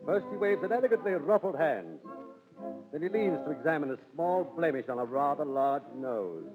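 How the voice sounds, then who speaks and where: A man's voice: on the high side, lively intonation, normal speed. A man narrating in theatrical, declamatory 1930s radio storytelling style. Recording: tinny 1930s radio, music behind.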